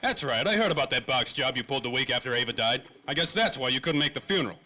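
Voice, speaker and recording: A man's voice: mid-range voice, lively intonation, quick pace, bright, forceful tone. An actor playing a tough, menacing former private detective in a 1930s-style radio crime drama, talking in clipped, slangy tough-guy lines. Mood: needling.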